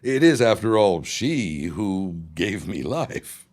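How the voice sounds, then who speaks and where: A man's voice: low-pitched, big pitch swings, slow, a little mellow, exaggerated voice. A man acting a dutiful son and new husband in an audio drama, speaking formally with placating, hesitant care.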